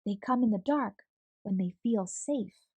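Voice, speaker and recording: A woman voicing a gentle, kind aunt who calmly explains things in a storybook. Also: mid-range voice, unhurried, husky, dry recording. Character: calm kind adult aunt.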